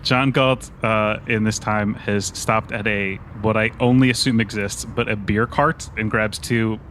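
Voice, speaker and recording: A man casually narrates his part in a tabletop game. His voice is a little low, with slight noise behind it. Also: steady tone, unhurried, a bit bright. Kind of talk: casual improvised narration of his character's actions.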